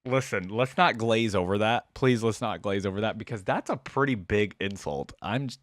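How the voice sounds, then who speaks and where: A man's voice: fairly low pitch, normal speed, no room sound, clean studio sound. A man hosting a reaction video, chatting casually to his viewers as he comments. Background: faint music.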